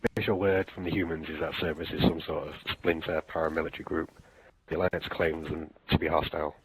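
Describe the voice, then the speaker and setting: A man playing a battle-hardened alien soldier in a sci-fi game audio drama, gruff, terse and wry, with a raspy voice. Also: extremely low pitch, level tone, quick pace, slight background noise, rough recording. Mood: matter-of-fact. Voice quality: gravelly, raspy. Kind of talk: fan audio drama, game-story character acting.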